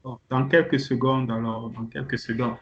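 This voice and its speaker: A man's voice: mid-range voice, flat delivery, normal speed. A man leading an online training kickoff meeting, presenting to participants with many hesitations and restarts. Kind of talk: presenting to participants on a video call. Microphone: video call. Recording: muffled call audio with faint noise.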